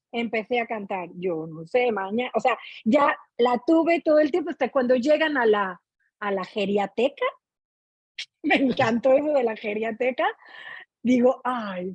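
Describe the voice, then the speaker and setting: A middle-aged Mexican woman with a fairly high, very husky voice talks casually and expressively about books. She often tags her sentences to check for agreement. The audio is muffled and echoey, like an online meeting. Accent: Mexican Spanish. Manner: chatty, expressive, often seeking agreement with tag questions. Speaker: reading club participant. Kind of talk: casual spoken book commentary.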